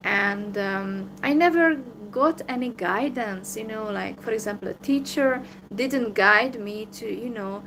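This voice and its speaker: A woman's voice: mid-range voice, measured pace, few pauses. Downcast Hungarian-accented woman, hum behind. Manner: downcast, hesitant, many fillers. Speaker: vlogger. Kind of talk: solo livestream monologue.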